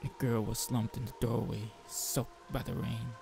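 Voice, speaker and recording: A man with a gravelly voice reading a visual novel aloud as a game player, mixing narration with informal joking asides. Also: medium pitch, steady tone, measured pace, a little mellow, faint music behind. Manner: informal, joking commentary between read passages.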